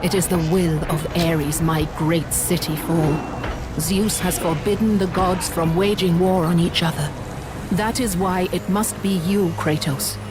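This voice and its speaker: A commanding goddess speaks in a measured, solemn way, as a woman acts her out. Her voice sits somewhat low, and game music plays clearly behind her. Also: natural voice. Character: calm, commanding female goddess.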